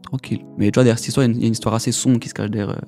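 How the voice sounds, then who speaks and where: A young man in his twenties from the Paris region rattles off his story at a rapid clip, slangy and full of repeated words. His voice sits on the mellow, dark side. Faint music plays behind him. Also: mid-range voice, steady tone. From Paris region, France. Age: 20s. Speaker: guest giving a testimony. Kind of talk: casual testimony.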